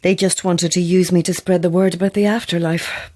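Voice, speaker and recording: A woman's voice: fairly low pitch, flat delivery, fairly quick, a little mellow, close to an everyday voice. A woman playing a composed, sceptical novelist in an audio drama, with a dry, understated and guarded manner. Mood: subdued, wry. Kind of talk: dramatic audio fiction acting.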